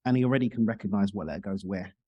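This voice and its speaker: A man's voice: fairly low pitch, racing, slightly rough, no echo, muffled recording. A man talking casually as a guest in a podcast interview, explaining things in talkative detail. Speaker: podcast guest, speaker and coach.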